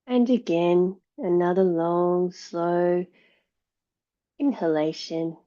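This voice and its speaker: A woman yoga teacher with a lowish voice guides a meditation at an unhurried pace. Her delivery is calm and soothing, leaving long pauses between short phrases. The recording is a little dull and muffled.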